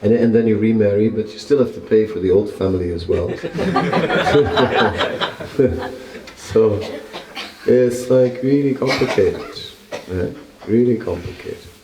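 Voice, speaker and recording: An older man giving a relaxed scripture class to a small group, talking in a conversational, wry way and chuckling at his own jokes. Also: on the low side, slow pace, pauses often, crowd noise. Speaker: monk lecturer. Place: small temple room with seated listeners. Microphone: stand mic close in front. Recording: roomy echo of a small temple room.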